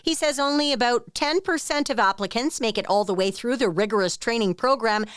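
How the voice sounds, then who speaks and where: A woman's voice: a little high, fairly quick, smooth, no echo, studio quality. A woman reporter narrating a scripted news report.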